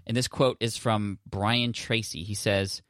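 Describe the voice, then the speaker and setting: A man's voice: lowish voice, fairly even intonation, a little slow, fairly bright tone, no echo, studio quality. A man hosting a podcast, talking casually while standing and gesturing, coaching a listener with friendly restarts. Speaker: podcast host.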